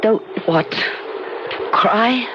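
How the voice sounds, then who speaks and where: An actress playing a wounded, bitter wife in a 1970s radio drama, halting and dazed. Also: female voice, a little high. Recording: dull, very noisy old radio broadcast.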